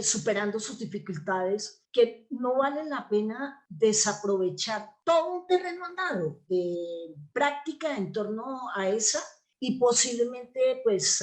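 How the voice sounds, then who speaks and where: Casting: Colombian woman, mid-pitched voice, interview guest from the theatre world, talking conversationally.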